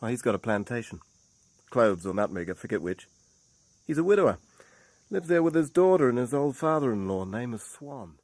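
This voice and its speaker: A man's voice: mid-range voice, very animated, a little fast, darkish tone, dry recording, lightly acted. An actor playing an earnest, easygoing man who muses colloquially in a radio drama. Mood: matter-of-fact. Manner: colloquial, musing.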